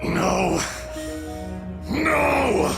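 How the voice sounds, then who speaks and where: A man voicing a furious, proud and bitter ancient elemental dragon in a video game, gravelly and grandiose, declaiming with contempt. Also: high voice, big pitch swings, drawn-out words, exaggerated voice. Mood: furious, defiant. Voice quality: heavily gravelly. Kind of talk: dramatic video game voice acting. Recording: loud dramatic game music underneath.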